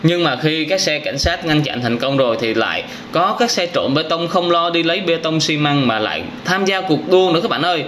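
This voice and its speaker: A man's voice: fairly high pitch, expressive, brisk, few pauses, fairly bright tone. A young man with a southern Vietnamese accent giving casual, exclamatory reaction commentary as a gaming host, speaking straight to young viewers. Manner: exclamatory storytelling with frequent wows and addresses to viewers. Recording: clearly noisy with game vehicle sounds and sirens.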